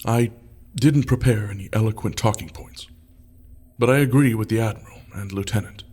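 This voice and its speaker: A man's voice: fairly low pitch, moderate pace, a little mellow, breathy edge. An actor playing a fleet designer who explains his plans in a plain, unrehearsed way. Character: male fleet designer presenting ship plans, measured. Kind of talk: audio drama acting.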